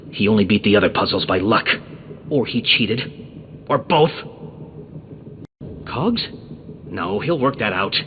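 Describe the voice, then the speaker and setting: A smug petulant genius game villain, highish voice that swings all over, muffled echoey audio. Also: male voice, background noise. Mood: obsessive, scheming. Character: arrogant, petulant male genius villain obsessed with riddles. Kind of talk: video game villain voice acting.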